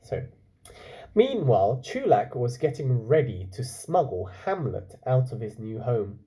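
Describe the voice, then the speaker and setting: Mellow, husky man reading a story expressively. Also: mid-range voice, very animated, unhurried. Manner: explanatory, questioning. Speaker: teacher reading a children's book aloud. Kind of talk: storytelling with explanatory asides to children.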